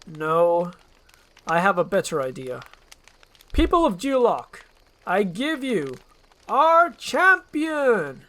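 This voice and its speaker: A scheming villain lord from a storybook tale , acted out by a solo narrator. He proclaims pompously and talks down to his listener. The pitch rises and falls theatrically. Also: big pitch swings, slow, pauses often, a bit bright. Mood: grandiose.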